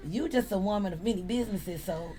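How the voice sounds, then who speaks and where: A woman hosting a casual chat show, prompting her guest and chiming in with quick affirmations. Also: medium pitch. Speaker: host. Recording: distant mic with faint noise.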